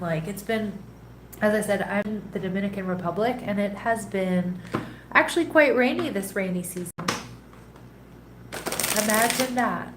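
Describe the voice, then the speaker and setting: A woman hosting a live tarot reading, a little congested and nasal, chatting casually and greeting viewers by name between soft laughs. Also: mid-range voice. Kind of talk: casual live-stream chat. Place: home in a rainy tropical place. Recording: echoey room, faint background noise.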